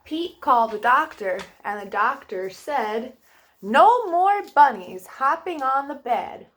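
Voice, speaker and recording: A woman's voice: high voice, big pitch swings, slow, a bit bright. A woman hosting a children's storytime, reading aloud and addressing young listeners in a sing-song way.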